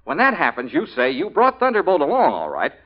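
A dull vintage radio recording carries an excitable male fixer. His voice sits fairly high and swings widely as he protests, cocky on the surface and easily flustered underneath, in 1940s drama style. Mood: confident. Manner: excitable, exasperated, quick to protest. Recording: dull, band-limited old radio recording.

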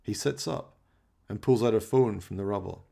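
A man's voice: lowish voice, normal speed, creaky, warm tone. A man delivering theatrical, scripted narration for a comic horror radio play, in an arch, melodramatic manner.

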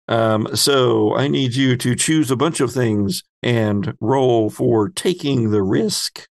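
A man acting as game master, improvising descriptive narration of a tabletop game in halting phrases. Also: medium pitch, vocal fry, dry recording.

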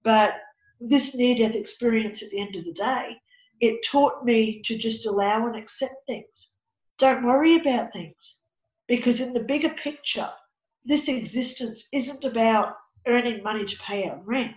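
A raspy-voiced woman in her fifties with a fairly high pitch. She speaks as an interview guest, emphatic and preachy. The audio sounds dull and lo-fi, with a noticeable echo. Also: a little slow. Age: 50s. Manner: emphatic, preachy, repeats "you know". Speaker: interview guest, life coach. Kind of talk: earnest personal conversation.

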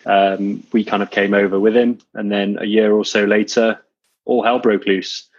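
Guest, male, thirties, from Wales, low husky voice, dull sound, relaxed and modest. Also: normal speed, no echo. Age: 30s. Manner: easygoing, laid-back, self-deprecating. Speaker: guest, coach and entrepreneur. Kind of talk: casual interview chat.

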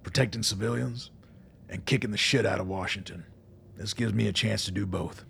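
An actor playing a gruff, embattled military colonel in a radio drama, blunt, dry and commanding. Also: male voice, lowish voice, brisk, fairly bright tone, a little hiss or hum. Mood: commanding, resolute.

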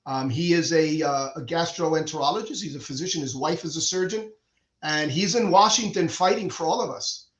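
A man's voice: a little high, normal speed. A middle-aged man from Cuba, a surgeon guest explaining his views earnestly, often restarting his sentences. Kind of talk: conversational interview. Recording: echoey dull lo-fi room sound.

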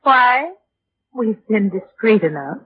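A woman's voice: mid-range voice, animated, slow, background noise. An actress playing a cool, dismissive married woman in 1940s radio drama, haughty and condescending. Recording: dull vintage radio recording, faint music.

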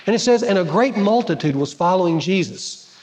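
Pastor, man, higher voice, dramatic sermon delivery, long church reverb. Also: lively intonation, a little fast, slight background noise. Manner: dramatic preaching, swings between loud emphasis and quiet. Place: church sanctuary. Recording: large hall with long reverb.

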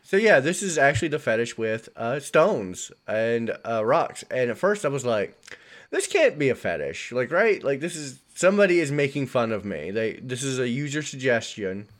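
A man hosting a chatty podcast, his voice a little gravelly as he gets over an illness, cracking puns as he talks. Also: mid-range voice, very animated, normal speed. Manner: punning, joking. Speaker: podcast host. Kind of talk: casual chat.

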